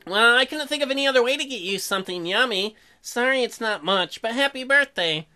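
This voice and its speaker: A woman's voice: on the high side, animated, sharp tone. A woman voicing an earnest, apologetic young newlywed husband who is sweet on his wife, reading visual novel dialogue. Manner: earnest, halting, self-deprecating. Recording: music behind the voice.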